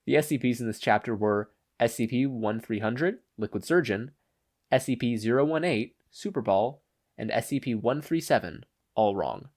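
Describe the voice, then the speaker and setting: A man's voice: mid-range voice, lively intonation, normal speed, darkish tone. Man narrating a story. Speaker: podfic narrator. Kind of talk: scripted narration.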